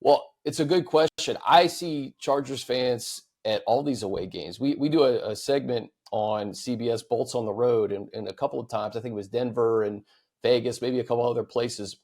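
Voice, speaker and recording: A man's voice: medium pitch, normal speed, a little mellow. An American man hosting a sports talk show in a casual, easygoing, conversational style. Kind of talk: casual sports talk, reading fan questions.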